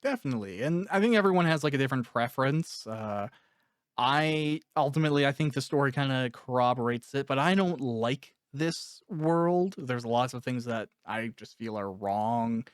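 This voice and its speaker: A man's voice: medium pitch, moderate pace, smooth, dry recording, studio quality. A man speaking casually and candidly as an interviewer and author, in an introspective, conversational way. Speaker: author interviewing a cast member.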